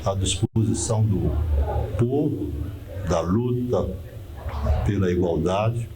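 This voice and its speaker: Slow, measured elderly Brazilian man. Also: low-pitched, background noise. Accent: Northeastern Brazilian Portuguese. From Northeast Brazil. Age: 80s. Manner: slow, measured, reflective with pauses. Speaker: architect recounting memories. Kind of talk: reflective oral-history interview.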